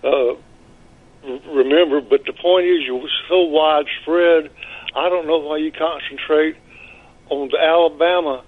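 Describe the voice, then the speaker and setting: Male caller, Mississippi, phone-line sound, halting and deferential. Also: a little high, fairly even intonation. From Biloxi, Mississippi. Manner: halting, full of restarts, polite "yes, sir". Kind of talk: phone-in chat. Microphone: telephone.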